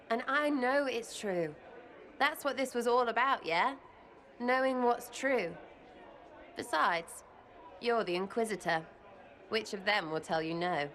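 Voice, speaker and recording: Brash young woman for a game: rambling, crude, giggly, unhurried, bright-pitched. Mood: earnest. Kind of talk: video game cutscene acting.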